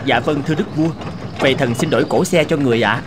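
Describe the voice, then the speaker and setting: A man's voice: medium pitch, fast, noisy background, natural voice. An actor playing a deferential royal coachman in a fairy-tale cartoon, respectful, hesitant and anxious to please. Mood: obedient.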